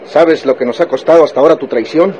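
Casting: actor playing impatient male gangster thug, highish voice, menacing emphasis, noisy vintage radio drama. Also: quick pace, lo-fi sound, lightly acted. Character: ruthless, impatient gangster henchman. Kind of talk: Spanish-language radionovela acting.

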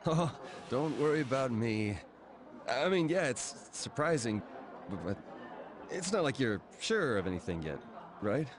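An actor playing a nervous, evasive man who stammers and hesitates in an animated game cutscene. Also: medium pitch, measured pace, a crowd in the background. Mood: flustered, uneasy. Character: nervous, evasive man cornered by his girlfriend. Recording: loud music under the dialogue.

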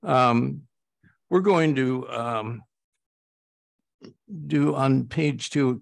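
An American man speaking haltingly as a board director at a formal public meeting. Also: mid-range voice, vocal fry, no room sound. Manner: halting, hesitant delivery.

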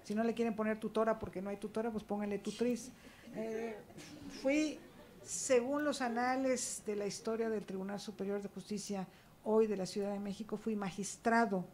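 A woman's voice: medium pitch, fairly even intonation, moderate pace, darkish tone. A woman panelist speaking emphatically, checking in with rhetorical questions. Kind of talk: academic panel talk. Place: university auditorium panel.

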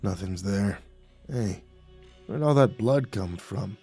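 A man's voice: on the low side, mellow, slightly rough, faint music behind, full character voice. Man doing a dazed, mumbling young goon. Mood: confused. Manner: slow, faint, mumbling. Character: big, dazed, dim young male security guard.

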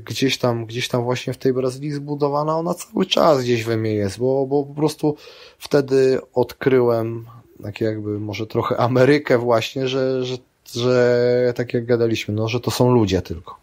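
A middle-aged man talks casually as an interview guest. His speech rambles through restarts and fillers at an ordinary middle pitch. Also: steady tone, moderate pace, darkish tone. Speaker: guest, grappling athlete and coach.

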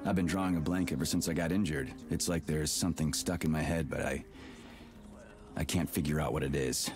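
A man voicing a gruff, sarcastic, impatient Soviet major in a video game, blunt and foul-mouthed. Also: extremely low pitch, fairly even intonation, a little fast. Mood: troubled, frustrated. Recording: music under the voice.

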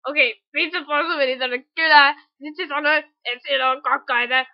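A woman improvises comic lines for an amateur cartoon fandub. Her voice sits high. The recording is dull and homemade. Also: steady tone.

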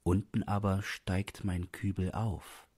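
Low-voiced, slow male audiobook narrator. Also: little pitch movement, darkish tone, husky, dry recording. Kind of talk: scripted literary narration.

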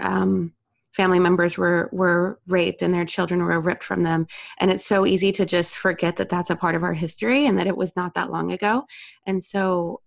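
A woman co-hosting a casual podcast, thoughtful and halting, stopping often to search for her words. Her voice sits fairly low, with a gravelly, vocal-fry texture. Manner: thoughtful, halting, many fillers. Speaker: co-host.

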